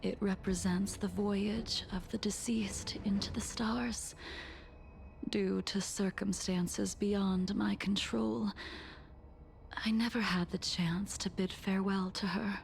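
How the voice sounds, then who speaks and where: Casting: a reserved, grieving swordswoman for a game, unhurried and breathy with vocal fry, soft music behind. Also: medium pitch, fairly even intonation, a little hiss or hum. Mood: solemn, regretful. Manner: measured, reserved, formal. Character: solemn, composed, sorrowful swordswoman of long memory. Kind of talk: video game voice acting.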